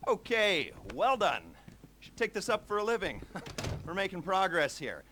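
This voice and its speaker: A brisk heist planner in a game cutscene speaks in a fairly high male voice. He is clipped and glib, breezily downplaying danger, over faint background noise. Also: huge pitch swings, bright, forceful tone, full character voice. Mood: breezy, persuasive. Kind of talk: video game cutscene acting.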